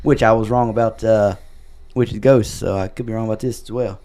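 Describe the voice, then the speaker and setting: A man's voice: on the low side, fairly even intonation, fairly quick, warm tone. A man hosting a casual podcast chat, rambling and correcting himself, his voice worn from a lot of talking. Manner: rambling, self-correcting, wraps up the show. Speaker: podcast host.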